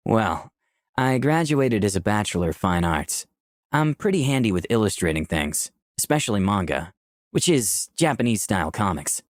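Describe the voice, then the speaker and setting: Low-voiced young visual novel protagonist. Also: male voice, very animated, normal speed, dry recording, clean studio sound. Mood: candid, friendly. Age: young adult. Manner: clear scripted storytelling. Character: candid, easygoing 24-year-old man, protagonist and narrator. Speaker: narrator and protagonist. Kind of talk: first-person visual novel narration and dialogue.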